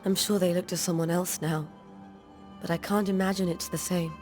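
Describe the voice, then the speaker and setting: An actress playing a grieving, devout colonial wife in a video game, speaking in formal, measured, old-fashioned phrases. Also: female voice, lowish voice, fairly even intonation, over music, lightly acted. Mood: composed, wistful. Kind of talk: dramatic video game acting.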